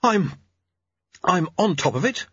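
Man voicing an anxious young apprentice, high-pitched. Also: very animated, slow pace, bright, forceful tone, breathy edge, no room sound, muffled recording, exaggerated voice. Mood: strained. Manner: earnest, questioning. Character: anxious, earnest young male apprentice, straining and doubtful. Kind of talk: audiobook character voice.

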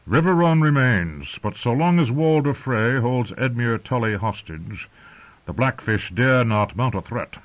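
A man voicing a stern, commanding old patriarch in a fantasy audiobook, speaking in a measured, authoritative manner. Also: medium pitch, lively intonation, voice pushed up, lightly acted. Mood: firm. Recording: narrow, phone-like lo-fi audio.

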